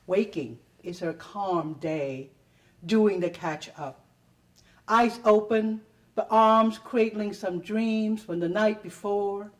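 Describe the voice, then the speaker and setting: A woman giving a deliberate, theatrical poetry reading with pauses, at a live event. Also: medium pitch, recorded from a distance. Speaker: performer reading own poetry. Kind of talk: dramatic poetry reading to an audience.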